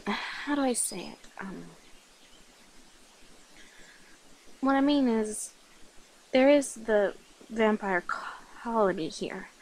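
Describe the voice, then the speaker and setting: A woman playing a flirty, affectionate, slightly nervous vampire in an intimate ASMR roleplay, speaking softly, coyly and hesitantly to the listener. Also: fairly high pitch, slight background noise, rough recording. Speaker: voice actor in an audio roleplay.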